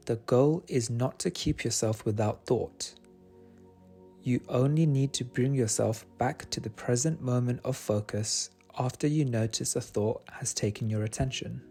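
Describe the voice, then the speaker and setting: A man guiding a meditation in a soothing, gentle and unhurried manner. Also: medium pitch, vocal fry. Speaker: meditation guide. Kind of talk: guided meditation narration. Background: soft meditation music.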